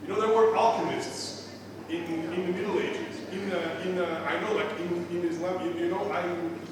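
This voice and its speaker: A middle-aged man from Portugal with a Portuguese accent, giving a lively, digressive science lecture to a live audience. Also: high voice, moderate pace, noisy background. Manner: lively, chatty, digressive with many asides. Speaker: guest lecturer. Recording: echoey hall, speaker far from mic.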